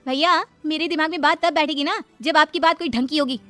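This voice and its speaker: A woman's voice: sits high, fairly even intonation, brisk, bright, forceful tone, faint music behind, voice pushed up. A woman voicing an outspoken, indignant young city-bred daughter-in-law in a cartoon moral story.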